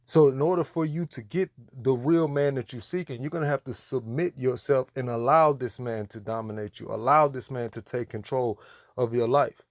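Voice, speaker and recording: A man's voice: mid-range voice, moderate pace, no echo. An American man delivers preachy commentary in a mid-range voice. He is admonishing and emphatic, hammering points through repetition. The sound is close and dry, with very little room. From United States. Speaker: religious commentator.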